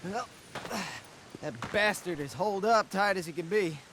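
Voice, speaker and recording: A weary American frontier marshal from a Western game. His voice sits fairly high, plain-spoken and folksy, over faint noise. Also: normal speed, fairly bright tone, poor audio. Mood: weary, wry. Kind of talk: game cutscene acting.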